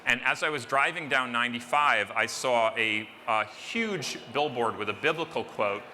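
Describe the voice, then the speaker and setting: Male moderator, loud and chatty, very bright tone, echoey hall. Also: mid-range voice, moderate pace. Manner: loud, chatty, self-deprecating. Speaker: panel moderator. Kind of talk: conference panel introduction.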